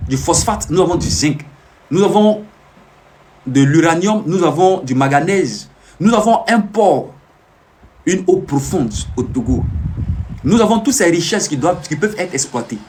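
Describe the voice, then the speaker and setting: A man talks straight to his live viewers in a high voice, pressing the same warnings again and again. His delivery is animated and swoops widely, and he stops now and then to answer comments before he goes back to preaching. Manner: emphatic, repetitive, preaching warnings. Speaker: self-proclaimed peace ambassador streaming live.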